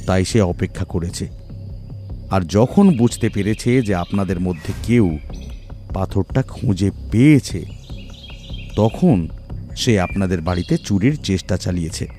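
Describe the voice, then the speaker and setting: A man playing a composed, analytical detective in an audio mystery, explaining deliberately. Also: lowish voice, warm tone. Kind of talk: audio detective story acting.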